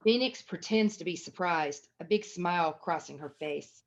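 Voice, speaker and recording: A woman's voice: on the low side, normal speed. A woman narrating a screenplay at a table read, reading scene headings and stage action as scripted narration. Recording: dull, lo-fi sound.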